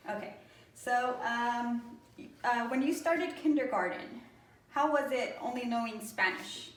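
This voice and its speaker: A woman interviewer asks questions with halting phrasing and frequent fillers. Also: on the high side. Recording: echoey room, far from the mic, faint noise.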